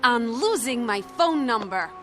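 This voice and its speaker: Slow, sardonic fed-up girlfriend. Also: a little high, lively intonation, heavy background noise. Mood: cool, hurt. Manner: dry, sardonic, pointed. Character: fed-up, sarcastic girlfriend of a pool shark. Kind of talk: radio drama acting.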